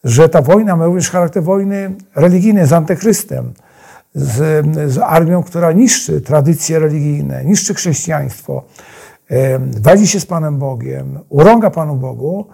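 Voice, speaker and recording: Higher-pitched, gravelly male historian. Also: moderate pace, dark tone. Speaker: historian guest. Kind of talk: unscripted explanatory interview talk.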